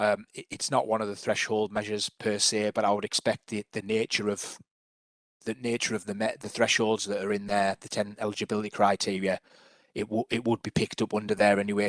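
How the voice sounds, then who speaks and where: A man's voice: lowish voice, level tone, fairly quick, fairly bright tone, dry recording. A man presenting public health work to a council panel over a video call, hesitant, with many restarts.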